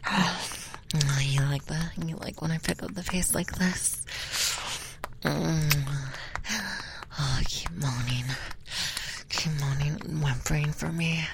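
Whispering woman, low and gravelly. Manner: soft breathy whispering, slow and intimate. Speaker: audio roleplay performer. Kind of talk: whispered intimate audio roleplay. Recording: steady background hiss.

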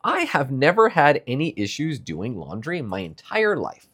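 A man's voice: mid-range voice, huge pitch swings, normal speed, fairly bright tone, slightly rough. A young man with a stuffy nose giving a casual, rambling morning check-in, laughing often between thoughts. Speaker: streamer.

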